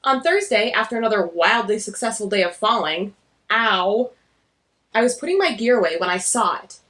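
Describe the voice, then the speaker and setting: A woman's voice: medium pitch, expressive, moderate pace, fairly bright tone, natural voice. A woman reading a graphic novel as a nervous, self-deprecating girl who narrates in a wry, glum way.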